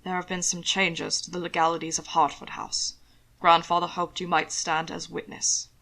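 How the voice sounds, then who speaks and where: A woman voicing a composed, protective aristocratic husband, speaking in a low, measured, formal way. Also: little pitch movement, creaky, pushed and bright, heavily acted. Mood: calm, firm.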